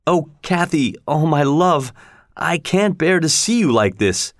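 A man's voice: mid-range voice, lively intonation, a little slow, no room sound, studio quality, lightly acted. A man voicing a tormented, passionate male lover from a storybook, brooding and vengeful, with an intense, anguished delivery.

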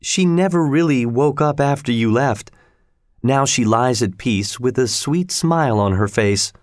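A man voicing a compassionate woman servant in a storybook, sorrowful but frank, speaking gently and earnestly. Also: medium pitch, a little slow, dry recording, clean studio sound, lightly acted. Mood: gentle, sad.

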